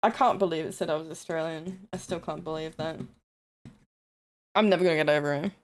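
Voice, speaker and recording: A woman's voice: low voice, fairly quick. A woman with a New Zealand accent, chatting casually and rambling on a live stream while she builds models, self-deprecating and swearing now and then.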